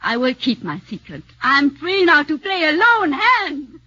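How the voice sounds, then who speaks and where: An actress playing a bitter, secretive, scornful wife in an intense 1940s radio drama style. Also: female voice, high voice, big pitch swings, a little slow, bright, forceful tone, no echo, full character voice. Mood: cold, scornful. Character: bitter, defiant, secretive foreign wife turned accuser. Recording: dull 1940s radio recording.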